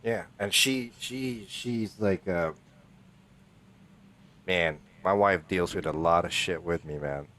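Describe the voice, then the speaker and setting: Low-voiced guy, filipino, 40s, rambles and restarts a lot on a chill podcast. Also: slow pace, a bit bright. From Philippines. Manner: rambling, restarts, laughs often. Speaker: podcast co-host. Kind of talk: casual podcast chat.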